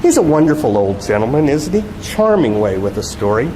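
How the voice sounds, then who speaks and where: A man reading the part of a bright, sly, mock-polite woman television reporter in a novel. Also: medium pitch, sing-song, fairly quick, natural voice. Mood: sly, needling. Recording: echoey hall, loud background noise.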